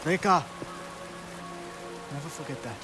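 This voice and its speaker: An actor playing a loyal, protective adventurer companion in a video game, speaking in earnest, urging phrases. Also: male voice, a little high, unhurried, over music, natural voice. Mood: solemn urging. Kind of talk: video game cutscene acting.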